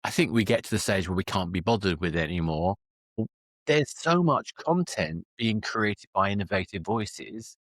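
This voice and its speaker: A man's voice: fairly low pitch, lively intonation, normal speed, dry recording. A man in a podcast conversation, musing aloud and working through his ideas as he goes. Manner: musing, discursive.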